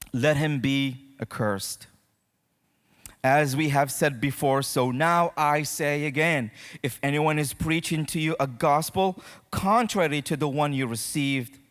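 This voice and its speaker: Man, preacher, somewhat high-pitched, conversational, direct address to congregation, long hall reverb. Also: fairly bright tone. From Morocco. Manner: conversational, addresses listeners directly with check-in questions. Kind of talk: sermon to a congregation. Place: church auditorium, speaking to a congregation.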